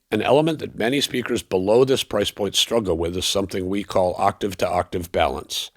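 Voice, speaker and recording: A man giving a scripted product review in a measured, analytical and precise manner. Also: lowish voice, creaky, no room sound, clean studio sound. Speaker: audio equipment reviewer.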